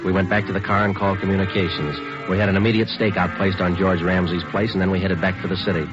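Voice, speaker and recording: An actor playing a terse, deadpan American police sergeant who narrates his case in a 1940s radio drama. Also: deep voice, quick pace, natural voice. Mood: flat narration. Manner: clipped, deadpan. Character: male police sergeant detective, terse, dry, businesslike. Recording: dull old radio recording with music.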